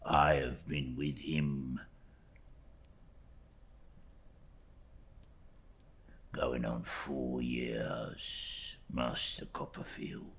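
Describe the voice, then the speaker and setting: A man voicing an oily, falsely humble young law clerk in an audiobook, with slow, obsequious phrases that sink into a hush. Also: rumbling low, steady tone, gravelly, voice pushed down, full character voice. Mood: fawning. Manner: slow, obsequious, drawn-out, hushed phrase endings. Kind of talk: audiobook character acting.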